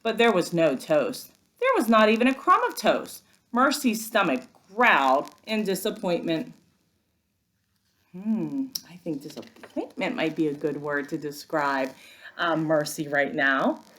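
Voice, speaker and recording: A woman working as a first-grade teacher, giving an expressive, instructive read-aloud lesson for young children. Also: sits low, measured pace.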